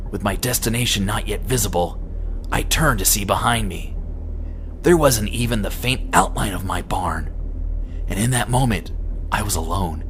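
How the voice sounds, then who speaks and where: A male amateur narrator reads scripted first-person horror fiction. His voice sits fairly low and his delivery is deliberate and dramatic. Clear ambient noise runs behind the reading. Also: fairly bright tone.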